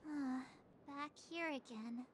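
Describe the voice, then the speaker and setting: A woman voicing an energetic, cheeky young female adventurer in a video game. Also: sits high, slow pace, a little hiss or hum. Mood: startled. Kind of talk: scripted video game dialogue.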